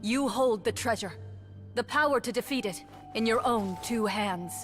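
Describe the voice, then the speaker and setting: A woman playing a composed, solemn hunter and storyteller in an anime game, speaking in a measured, formal way. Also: on the high side, natural voice. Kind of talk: anime game voice acting, dramatic narration. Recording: music behind game dialogue.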